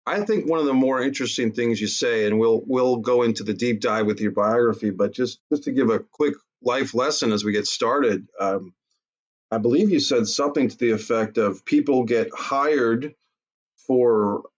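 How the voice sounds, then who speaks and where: A man's voice: medium pitch, a little slow, muffled recording. A man guest-hosting a podcast, asking friendly questions with some hesitation. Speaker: guest host. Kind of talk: casual interview.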